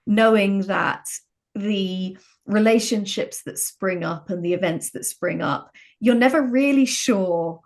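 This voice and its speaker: A woman's voice: medium pitch, slow pace. A woman talking casually and explaining things as a guest game writer on a panel.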